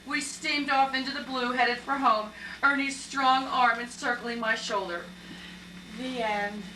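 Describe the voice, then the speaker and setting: A woman playing a romantic, excitable young woman in an amateur stage play. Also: sits high, measured pace. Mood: dreamy, romantic. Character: romantic, excitable young woman, Eleanor, reading her column aloud. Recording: echoey hall, distant mic, faint noise.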